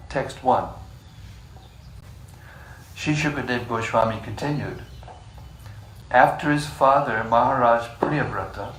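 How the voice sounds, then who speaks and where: A man leading a scripture class, reading passages in a measured way and joking with the group between them. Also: mid-range voice, a bit bright. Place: room with a live group. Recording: echoey room, distant mic, faint hiss.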